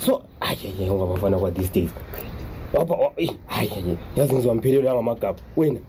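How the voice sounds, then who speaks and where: A man's voice: on the low side, expressive, quick pace, mellow, slight background noise, close to an everyday voice. A man playing a proud, protective father in a radio drama, talking in repetitive, colloquial exclamations. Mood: exasperated. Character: proud, protective father struggling to provide for his son.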